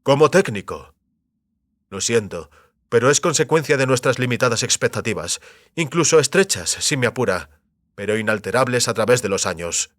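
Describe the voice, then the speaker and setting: A man playing a solemn, idealistic head of a secret family company in an audio drama, speaking in grave, deliberate speeches. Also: medium pitch, expressive, fairly bright tone, dry recording, studio quality, close to an everyday voice.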